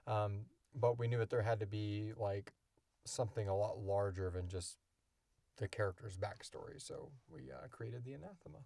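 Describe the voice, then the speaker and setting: A man's voice: on the low side, normal speed, gravelly, warm tone, dry recording. A man hosting a podcast, answering questions in a casual, informal, rambling way. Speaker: podcast host and audio drama creator.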